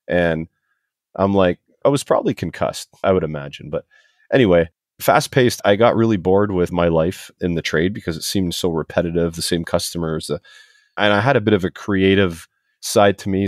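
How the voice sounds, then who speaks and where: A man in his forties from Canada with a very low voice, chatting as a podcast guest. He rambles through his stories in a loose, self-deprecating way. The sound is dry and close, with little room around it. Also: a little mellow, dry recording. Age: 40s. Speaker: podcast guest, HVAC technician. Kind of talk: casual interview chat.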